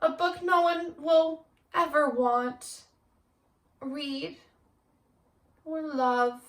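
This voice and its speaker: A woman voicing an exasperated little storybook boy in a read-aloud, theatrical and exclaiming with drawn-out dismay. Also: high-pitched, steady tone, echoey room. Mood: defeated, sulky. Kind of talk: animated picture-book read-aloud.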